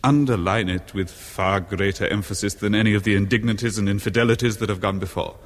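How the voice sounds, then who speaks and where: An actor playing a bitter, pompous ageing English husband in a radio horror drama, speaking in florid, scornful, theatrically precise phrases. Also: male voice, lowish voice, steady tone, fast, close to an everyday voice. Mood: bitter indignation. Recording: long reverberant echo on 1970s radio recording.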